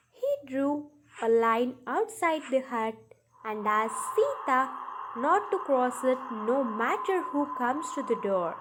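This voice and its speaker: A woman narrating a children's story from a script, in deliberate, halting phrases with pauses. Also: high-pitched, rough recording. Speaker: storyteller narrating a puppet show.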